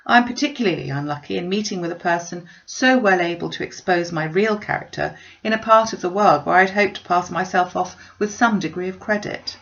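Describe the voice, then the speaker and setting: A spirited young gentlewoman from a storybook, voiced by a woman talks with arch wit. She is playful and mock-serious, teasing as she goes, with a creaky edge to the voice and a slightly muffled recording. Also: medium pitch. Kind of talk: storybook reading.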